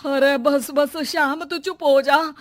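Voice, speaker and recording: A woman voicing a bossy older mother-in-law in a cartoon who scolds loudly. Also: high-pitched, fairly even intonation, slow pace, dry recording, pitched above the performer's own voice. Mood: scolding.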